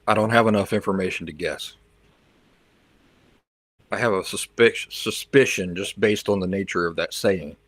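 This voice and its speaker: A man's voice: low voice, normal speed, long pauses, a bit bright, no room sound. A man hosting a livestream, chatting casually with viewers and reacting to their comments, sometimes switching into learner's Spanish. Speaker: livestream host.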